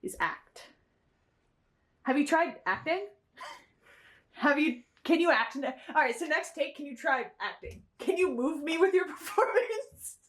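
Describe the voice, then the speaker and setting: Giggly woman kidding around on set, slow and swoopy voice, kinda far from mic. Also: sits high, animated, a bit bright. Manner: joking, giggly. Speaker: director of an amateur web series. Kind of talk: casual behind-the-scenes banter. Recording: echoey, distant microphone.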